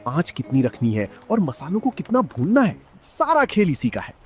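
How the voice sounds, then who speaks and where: Guy doing a village noodle seller dad for a cartoon, avuncular, animated, kinda high for a man, music under. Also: normal speed. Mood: hearty, proud. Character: hardworking village father selling spicy noodles, hearty, proud. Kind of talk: cartoon story dialogue.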